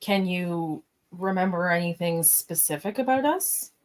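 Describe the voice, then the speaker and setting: A woman playing a calm, gently flirtatious male first officer in an amateur sci-fi radio play. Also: lowish voice, a little slow. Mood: gentle, curious.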